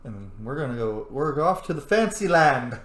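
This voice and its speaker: A man's voice: mid-range voice, big pitch swings, normal speed, fairly bright tone. Man gaming in a roomy, echoey space. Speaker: game player and host of a roleplay playthrough. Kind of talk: casual gameplay commentary, reading in-game messages aloud. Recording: roomy echo, faint background noise.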